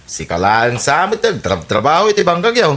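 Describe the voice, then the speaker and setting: Radio comedy: meddling Ilocano male friend, tense and bright, stirring suspicion. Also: a little high, wildly swooping pitch, moderate pace. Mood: gossiping. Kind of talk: radio comedy drama acting.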